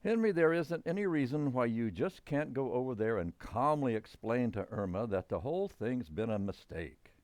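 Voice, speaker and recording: A man acts out a middle-aged father from an old-time radio sitcom. He is reasonable yet exasperated, with a very lively, swooping delivery. The sound is dry, with little room around it. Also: mid-range voice, moderate pace, hardly any pauses, dry recording, natural voice. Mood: reasoning, insistent.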